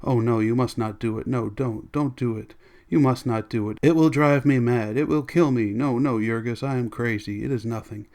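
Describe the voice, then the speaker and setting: A man's voice: medium pitch, fairly even intonation, normal speed, few pauses, natural voice. A man voicing an anguished, desperate wife in an audiobook, pleading in broken, frantic phrases.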